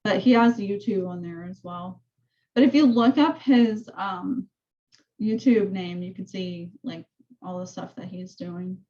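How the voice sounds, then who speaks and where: Woman, soft voice, casual rambling team walkthrough, average pitch, muffled video-call sound. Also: unhurried. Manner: chatty, rambling explanations. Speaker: real estate team leader.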